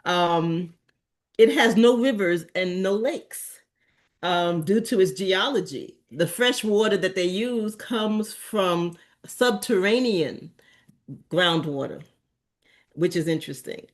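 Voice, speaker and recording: A woman's voice: on the low side, expressive, takes it slowly. A middle-aged woman from Antigua speaks casually to a community gathering. Her talk wanders, broken up by frequent ums and restarts. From Antigua and Barbuda. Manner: meandering, with many fillers and restarts. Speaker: community presenter at an association meeting. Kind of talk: casual informal talk to a meeting.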